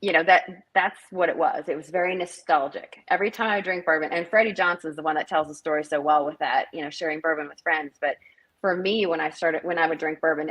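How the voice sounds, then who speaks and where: A middle-aged woman from northern Minnesota talks on a video call in a slightly low voice. She rambles casually and fills gaps with "um" and restarts, sounding easygoing and sincere. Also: a bit bright, low-quality recording. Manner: chatty, rambling with fillers. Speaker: festival director, guest. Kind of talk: casual interview chat.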